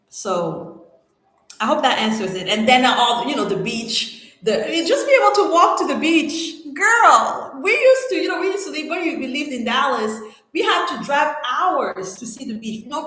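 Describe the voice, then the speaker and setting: A middle-aged woman from Cameroon whose first language is French hosts a casual live Q&A. She talks in an animated, emphatic way with slangy exclamations, and her voice sits a little high. The sound is heavily echoey, as if she is in a bare room. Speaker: livestream host answering viewer questions.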